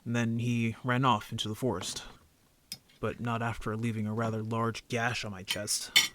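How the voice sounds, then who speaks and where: A man playing an injured young werewolf, a defensive, easily embarrassed brother who talks casually and haltingly in an audio drama roleplay. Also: mid-range voice, level tone, sharp tone, a little hiss or hum, natural voice. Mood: earnest recounting.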